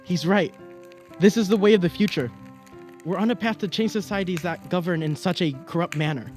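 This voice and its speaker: Actor as smug, higher-voiced idealistic intellectual. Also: male voice, fairly quick, darkish tone, soft background music, close to an everyday voice. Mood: superior. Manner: smug, formal, opinionated. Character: condescending, idealistic young male intellectual. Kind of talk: period radio drama acting.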